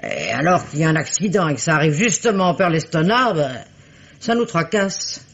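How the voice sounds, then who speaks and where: A woman's voice: low-pitched, big pitch swings, brisk. An actress playing a talkative, gossiping village innkeeper woman in a 1950s radio drama. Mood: chatty. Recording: dull old radio recording.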